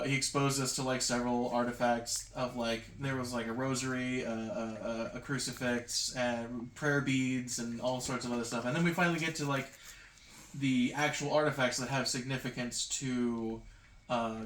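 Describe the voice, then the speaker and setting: A man's voice: mid-range voice, level tone, moderate pace, fairly bright tone. Echoey-room man recapping a tabletop game. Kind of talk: casual retelling to a group. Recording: echoey room, background noise, clicks.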